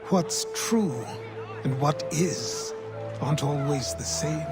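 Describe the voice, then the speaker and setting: An actor playing a weary, stern older mentor in a video game, measured and commanding as he instructs. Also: on the high side, over a noisy crowd. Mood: firm, instructing. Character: weary, stern old mentor, worldly and guarded man. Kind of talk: video game cutscene acting. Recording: loud background music.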